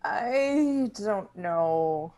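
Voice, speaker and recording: A woman's voice: medium pitch, animated, very slow, warm tone, a little hiss or hum. An actress playing a shy, apologetic, self-doubting young woman, hesitant and flustered, in a conversational table read. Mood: hesitant, reluctant.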